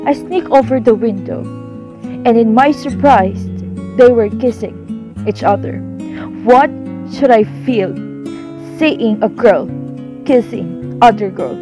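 A woman's voice: on the high side, takes it slowly, long pauses. A woman playing a shaken schoolgirl daughter giving her testimony in an amateur radio drama. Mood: troubled. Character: shaken schoolgirl daughter recounting what she saw. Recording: guitar music behind the voice. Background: guitar music.

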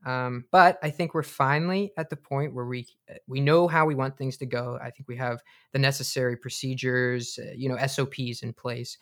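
A man's voice: medium pitch, fairly even intonation, normal speed, hardly any pauses, a bit bright, lightly acted. A man playing an earnest, self-important leader of a doomsday bunker in conversational comedic radio drama, speaking in a hesitant, rambling way. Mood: businesslike, earnest. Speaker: co-host of a comedic podcast drama.

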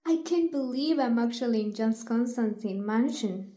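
A woman playing an excitable, awestruck young fugitive in an audio drama. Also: fairly high pitch, normal speed. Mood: awed.

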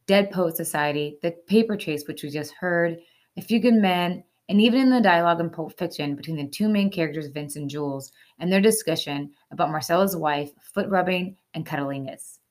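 A woman's voice: on the low side, brisk. A woman hosting a segment, reading scripted explainer narration over music. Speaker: host.

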